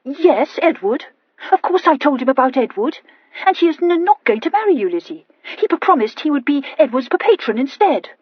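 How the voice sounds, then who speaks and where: A woman's voice: high voice, sing-song, brisk, no echo, highs cut off, pitched above the performer's own voice. A woman voicing an eager young lady who stammers on her words.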